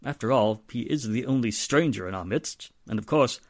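Man playing wealthy middle-aged father, genteel, hemming, politely insinuating, medium pitch, dry sound, 1940s radio drama. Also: expressive, moderate pace, dry recording, lightly acted. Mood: hesitant, insinuating.

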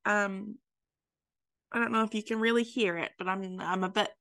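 A woman's voice: mid-range voice, steady tone, moderate pace, bright, forceful tone. A woman with a New Zealand accent hosting a podcast interview, sounding stuffy and sniffly, chatting casually with plenty of fillers and restarts. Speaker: podcast host.

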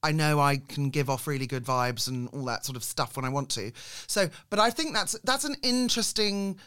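A woman's voice: low voice, expressive, moderate pace, fairly bright tone, clean tone. Chatty woman podcast host. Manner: chatty, enthusiastic, self-deprecating asides. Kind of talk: podcast interview.

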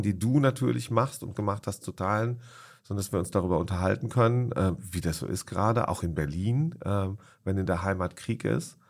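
Male podcast host, lowish voice, conversational interviewer who feels his way through sentences with frequent ums.

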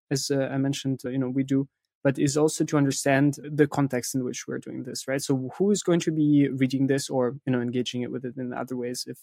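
A man's voice: mid-range voice, gravelly, darkish tone, no echo. A man being interviewed, explaining his approach conversationally and often checking in with short tag questions. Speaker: interviewee, content writing professional.

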